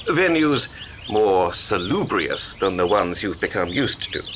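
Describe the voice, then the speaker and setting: Actor playing a deep, lively, cultured secretive promoter. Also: male voice, background noise. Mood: businesslike, coaxing. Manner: erudite, measured, persuasive. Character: smooth, cultured, secretive male promoter of radical poets. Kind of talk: radio play acting.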